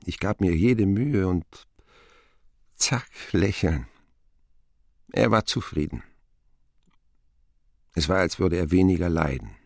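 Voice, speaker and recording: An actor playing a grown man who recalls his boyhood in measured, reflective radio drama narration. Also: deep voice, gravelly, darkish tone, no room sound, studio quality, exaggerated voice. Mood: subdued, wistful.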